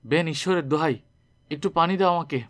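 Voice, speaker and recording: A man's voice: on the high side, a little fast, lightly acted. A man voicing a wounded, dying robber who begs weakly in a broken, faltering storybook voice. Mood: pleading.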